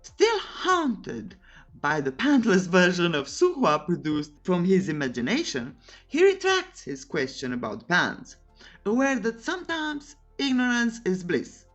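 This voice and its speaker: A woman's voice: lowish voice, wildly swooping pitch, a little slow, soft background music. A woman narrating a scripted story recap in a cheeky, tongue-in-cheek way with playful asides.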